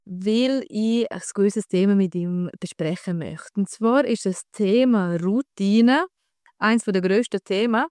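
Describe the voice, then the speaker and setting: A woman's voice: medium pitch, measured pace, a little mellow, smooth, no echo, studio quality. A woman with a Swiss accent hosting a conversational podcast interview in an enthusiastic, informal manner. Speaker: podcast host and women's health coach.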